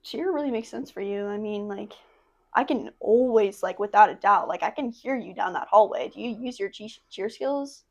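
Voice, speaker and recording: A woman's voice: medium pitch, moderate pace, creaky, dull audio, some chatter behind. A young woman hosting a school interview, asking friendly and curious questions. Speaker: student host.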